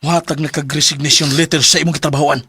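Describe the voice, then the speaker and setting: A man's voice: fairly high pitch, little pitch movement, quick pace, background noise, close to an everyday voice. A man acting a jealous, domineering Cebuano husband. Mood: jealous rage. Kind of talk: Filipino radio drama acting. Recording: radio drama with music behind.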